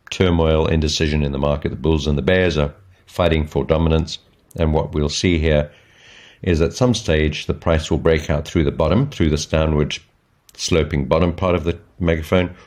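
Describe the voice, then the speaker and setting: Guy with a really really deep voice walking thru market charts step by step, informal teaching style. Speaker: trading educator, channel host. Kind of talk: informal explanatory market commentary.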